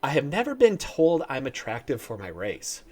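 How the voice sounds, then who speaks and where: A man with a Northern US accent talks casually through a quiz on his vlog. His pitch swings up and down as he reads each statement aloud and answers it with dry, wry remarks. He sits at a mid pitch and keeps a loose, conversational pace. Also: wildly swooping pitch, normal speed, darkish tone. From northern United States. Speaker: vlogger.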